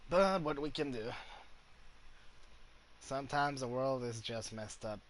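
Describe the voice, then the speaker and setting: A man doing informal let's-play commentary, thinking aloud in a halting, casual way. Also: medium pitch. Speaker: gaming streamer. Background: game music.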